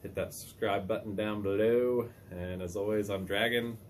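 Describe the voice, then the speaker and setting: A man in his thirties hosting a casual outdoor how-to vlog, talking in a relaxed, chatty way with many fillers, filmed on a phone held at arm's length on a mountain lookout tower. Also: fairly low pitch, normal speed, a little hiss or hum. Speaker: vlogger host. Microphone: phone on a trekking pole at arm's length.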